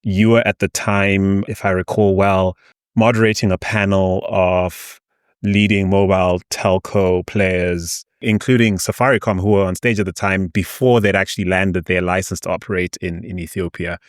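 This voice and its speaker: A man's voice: low-pitched, fairly even intonation, normal speed, smooth, dry recording, studio quality. A man hosting a podcast interview, asking long, thoughtful, winding questions. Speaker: podcast host.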